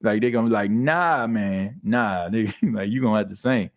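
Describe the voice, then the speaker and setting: A young man hosting a podcast in casual chat, laughing often and slipping into animated impressions. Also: fairly low pitch, normal speed. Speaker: podcast host. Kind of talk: casual chat reading live comments.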